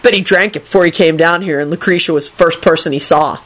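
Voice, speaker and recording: A woman's voice: lowish voice, fast talker, lightly acted. Woman voicing a blunt, exasperated boy. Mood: matter-of-fact.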